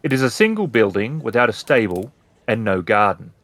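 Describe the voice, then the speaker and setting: A man with a mid-pitched voice narrates tabletop game scenes as game master. His pitch moves in a lively way, and the sound is dry and close. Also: brisk, no echo.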